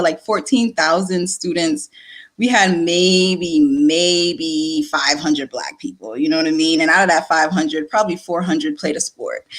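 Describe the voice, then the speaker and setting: A woman in her late thirties, born in Nigeria and raised in Connecticut, telling her own story in a relaxed interview. She talks in a fairly low voice and keeps it lively, looping back over words and laughing between points. Her delivery stays chatty and unscripted throughout. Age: late 30s. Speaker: guest. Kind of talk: casual conversational interview.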